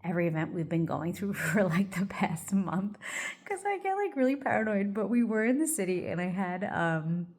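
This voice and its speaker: A woman's voice: mid-range voice, big pitch swings, normal speed, a little mellow. A woman vlogging in a casual get-ready-with-me chat. She rambles loosely, with plenty of "like" and "um", and sounds a little congested.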